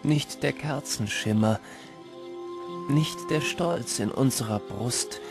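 A man playing a wistful narrator who tells his boyhood tale in measured, literary narration. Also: mid-range voice, creaky. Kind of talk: scripted narration. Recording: loud music behind.

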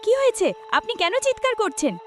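A woman's voice: very high-pitched, sing-song, fast talker, a bit bright, faint music behind, exaggerated voice. A woman playing a courteous, anxious young woman in a cartoon horror story, with a dramatic manner. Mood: worried. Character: concerned, apologetic, easily frightened young woman.

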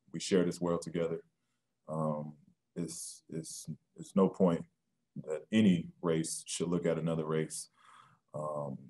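Deep-voiced soft-spoken man, halting. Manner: soft-spoken, halting, many ums and restarts. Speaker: NBA player at postgame press conference. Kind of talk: postgame press conference answers. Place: press room with sponsor backdrop.

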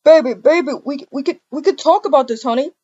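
High, wheedling cheating partner played by a woman. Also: fast, warm tone, lo-fi sound, natural voice. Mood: pleading. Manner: wheedling, stammering, repeats pet names. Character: pleading, whiny cheating partner begging forgiveness. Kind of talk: amateur video game roleplay acting.

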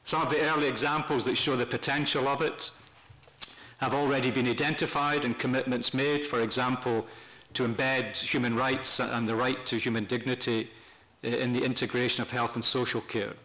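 An older man presenting semi-formally to a meeting, speaking off the cuff in a measured, halting way with pauses mid-phrase. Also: mid-range voice, steady tone, bright, forceful tone, poor audio. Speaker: panel speaker at a human rights meeting. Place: parliament committee room, indoors. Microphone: desk gooseneck microphone.

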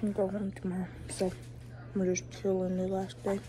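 A young woman in her twenties vlogging at home while sick with a cough, talking softly to her phone. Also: normal speed. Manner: low-key, quiet and relaxed. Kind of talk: casual vlog talk to camera. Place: indoors at home, living room. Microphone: phone held close, front camera. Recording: noisy home recording.

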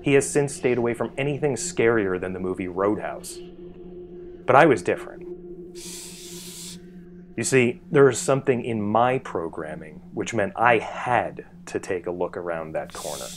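A man's voice: lowish voice, animated, moderate pace, a little hiss or hum. A man in his forties reading a scripted first-person essay in a storytelling style. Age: 40s. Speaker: writer narrating a personal essay.

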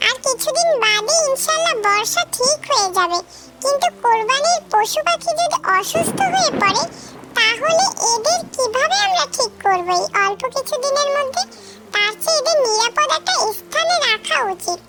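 A woman's voice: very high voice, animated, normal speed, sharp tone, soft background music, heavily acted. A woman voicing a thoughtful, kind little village boy in a cartoon, speaking in an earnest, eager manner. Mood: earnest, concerned. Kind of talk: cartoon story acting.

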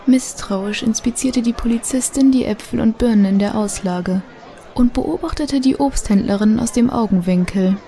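A woman's voice: fairly high pitch, moderate pace, warm tone, lightly acted. A woman narrating a children's mystery audio drama in a scripted, suspenseful storytelling manner. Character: female storyteller of a children's mystery radio play.

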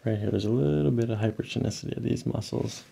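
A man's voice: medium pitch, quick pace, gravelly, warm tone. A man in his thirties speaking as a chiropractor, methodically questioning a patient and explaining each step of an exam. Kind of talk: clinical patient consultation and exam commentary. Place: small carpeted chiropractic clinic room and hallway.

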